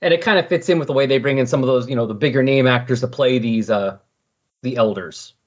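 A man's voice: medium pitch, quick pace. A man talking casually and enthusiastically about comic books, like a podcast guest. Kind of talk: casual comic book discussion. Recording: muffled lo-fi audio.

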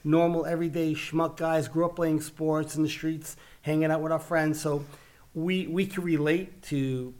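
A man's voice: a little high, steady tone, moderate pace, a little mellow. A man with a Brooklyn New York accent, co-hosting a casual podcast chat, talking earnestly and often repeating words as he finds his point. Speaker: podcast co-host.

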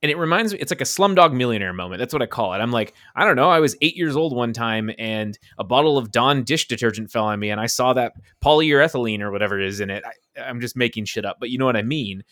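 A man talks loosely on a podcast, rambling through his thoughts with easy self-deprecation. His voice sits at an ordinary pitch. The recording is dry, with no room sound. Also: animated, fast, hardly any pauses, fairly bright tone, no room sound. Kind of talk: casual chat.

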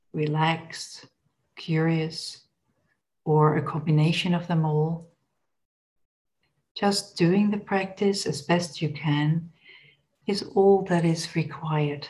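A woman leading a guided body scan meditation in a gentle, unhurried, instructional manner. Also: sits low, steady tone.

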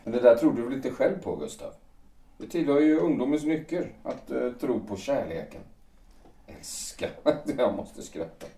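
Male actor as world-weary vain playwright, wry and musing, mellow, distant from the mic, period audio drama. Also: medium pitch, big pitch swings, gravelly. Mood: scoffing, amused. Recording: roomy echo, distant from the mic.

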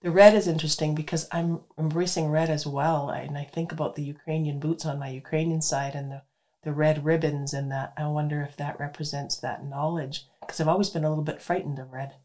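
A woman's voice: sits low, fairly even intonation, fairly quick, warm tone. A woman hosting a radio show, chatting enthusiastically with her guest and laughing easily. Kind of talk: casual interview chat.

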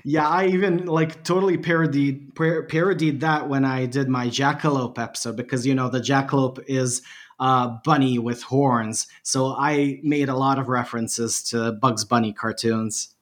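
A man's voice: mid-range voice, fairly even intonation, moderate pace. A man from Bosnia and Herzegovina, a podcast guest chatting animatedly and mocking himself with frequent laughs. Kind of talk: casual interview chat.

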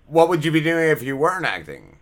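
A man hosting a podcast interview, asking questions in a lively, chatty way. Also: fairly high pitch, fast talker, a little hiss or hum. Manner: lively, chatty, many fillers and restarts. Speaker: podcast host. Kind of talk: casual interview.

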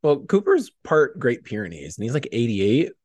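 A man in casual podcast chat, excitable and slangy, given to hyperbole. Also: medium pitch, normal speed, no echo.

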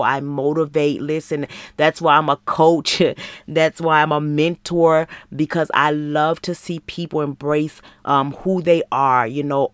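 A woman's voice: low-pitched, no room sound. An American woman in her thirties talking as a faith-based podcast host, in an earnest, encouraging, conversational way. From Michigan, United States. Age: 30s. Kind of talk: personal podcast monologue, faith-based motivational talk.

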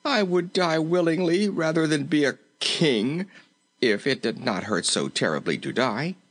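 Man putting on a high, plaintive, cowardly storybook king. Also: lively intonation, takes it slowly, heavily acted. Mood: moaning. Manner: plaintive, melodramatic moaning. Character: comical, cowardly, self-pitying, tender-hearted male king. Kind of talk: storybook character voice.

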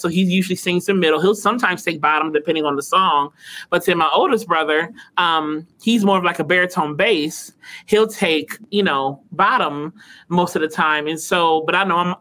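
A male vocal coach, a guest on a talk show, explaining singing in a relaxed, rambling way with lots of "you know", in his naturally high voice. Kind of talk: casual interview chat.

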